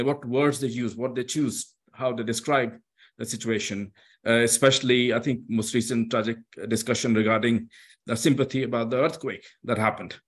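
Man speaking in halting academic English. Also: mid-range voice. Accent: foreign-accented English. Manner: halting, many fillers and restarts. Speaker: discussant. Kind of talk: academic discussion.

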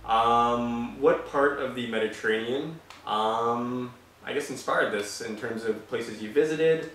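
A man in his thirties, from Canada, co-hosting a cooking show in a friendly way, often pausing with fillers. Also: medium pitch, fairly bright tone, slight background noise. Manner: friendly, hesitant with many "uh" fillers. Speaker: co-host. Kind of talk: casual cooking show presenting. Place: large home kitchen, indoors. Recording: roomy, distant sound with light music.

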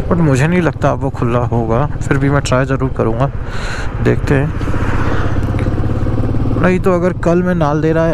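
A man's voice: mid-range voice, normal speed, vocal fry, pauses often. A man vlogging casually while riding a motorcycle on a mountain road, chatting to viewers and mixing in English phrases, recorded on a helmet or action-camera mic. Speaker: moto vlogger. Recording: noisy outdoor road recording with traffic. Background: traffic and engine noise.

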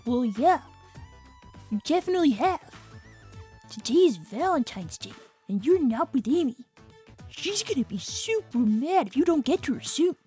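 A woman voicing a bright, earnest twelve-year-old boy fox inventor in a fan-made cartoon, chiding and exasperated. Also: high-pitched, very animated, normal speed, long pauses, soft background music. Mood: upbeat, matter-of-fact. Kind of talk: fan-made animated series voice acting.

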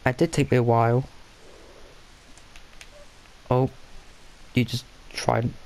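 A man with a stuffy, blocked nose talks his way through a game session in a loose, rambling way. He often stops mid-sentence to correct himself and mutters little asides to himself. His voice sits at an ordinary pitch and has a gravelly edge. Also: level tone, takes it slowly, a little mellow, poor audio. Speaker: gaming channel host. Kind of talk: casual gameplay commentary.

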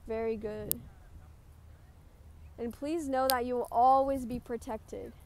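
A woman with a fairly high voice guides a group through a meditation. Her delivery is gentle and instructive. Ambient noise can be heard behind her. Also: fairly even intonation, slow pace. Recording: clear background noise, ambient sound.

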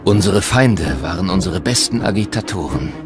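An actor playing a proud, defiant union veteran, reading a dramatized memoir quote in a documentary. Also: male voice, low voice, animated, normal speed, over music.

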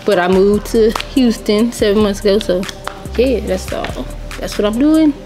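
A chatty woman from California introduces herself in a middle-pitched voice. She pauses a little as she talks. Music plays clearly behind her. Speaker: YouTuber.

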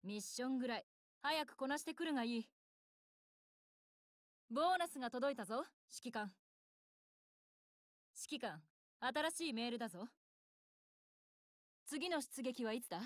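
Woman voicing a proud young warship girl, anime game, blunt tomboyish commander, fairly high voice, dry studio sound. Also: animated, moderate pace, long pauses, dry recording, natural voice. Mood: brusque, impatient. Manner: blunt, self-assured, tomboyish commanding phrasing. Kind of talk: Japanese anime game voice lines.

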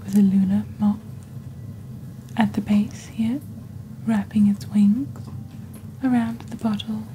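A woman softly presenting products in a hushed, breathy, gentle and deliberate ASMR style, like a scripted fantasy shopping channel. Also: mid-range voice, steady tone, slight background noise, low-quality recording. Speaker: host of a witch-themed shopping channel roleplay.

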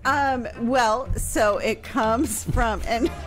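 A woman's voice: a little high, extremely slow, soft background music. A woman in her thirties explaining casually as a podcast historian, close on a broadcast mic in a room at home. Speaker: historian answering questions. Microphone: close broadcast microphone on boom arm.

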